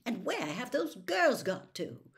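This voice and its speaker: A woman voicing a stern, bossy middle-aged witch mother with a sharp, scolding manner in a storybook reading. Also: on the high side, big pitch swings, slow pace, gravelly, voice pushed up, heavily acted. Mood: snappish.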